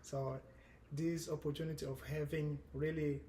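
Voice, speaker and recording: A man in his thirties from Angola with a Portuguese accent, a guest speaking in an earnest, measured way, with frequent restarts. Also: a little high, flat delivery, dark tone, slight background noise. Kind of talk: casual conversation.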